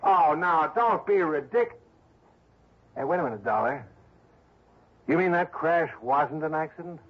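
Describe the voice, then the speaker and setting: Bitter, blustery male businessman, rough-edged higher voice, vintage radio drama. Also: expressive, measured pace. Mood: indignant, defensive. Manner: blustery, indignant. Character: bitter, defensive businessman, wronged husband. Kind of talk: 1960s radio drama acting. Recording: dull old radio recording.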